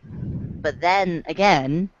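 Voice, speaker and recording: A man's voice: a little high, extremely slow, highs cut off. An amateur actor, a man, playing a sassy, slangy teenage boy as a drawling valley girl, in a reader's theater recording. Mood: flippant.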